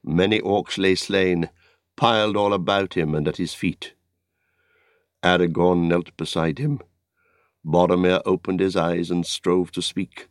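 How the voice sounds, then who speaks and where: A man narrating an epic story as an audiobook, in a dramatic, solemn and measured literary style. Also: low voice, fairly even intonation, no room sound, clean studio sound. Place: dry studio.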